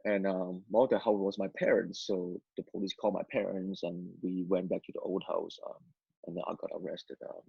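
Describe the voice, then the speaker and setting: Hong Kong man, first language Cantonese, halting storyteller, low voice, dull lo-fi sound. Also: little pitch movement, vocal fry, slightly rough, no room sound. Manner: halting, repeats words, many fillers. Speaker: interview guest. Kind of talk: testimony interview.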